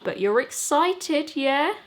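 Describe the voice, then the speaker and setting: Woman as excitable young male pop star, blunt, bouncy, audiobook dialogue. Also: high voice, slow, voice pushed up. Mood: eager. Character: brash, excitable young male boyband member.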